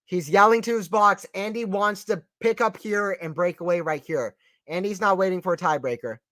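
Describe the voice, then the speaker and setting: Slightly southern american guy doing live tennis play-by-play, high voice, dry close sound, gets excited on big rallies. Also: normal speed, no echo. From Atlanta, Georgia, US. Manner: excitable, rises sharply on big points; casual, chatty, answers chat questions. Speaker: play-by-play stream commentator. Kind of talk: live play-by-play commentary on a watchalong stream.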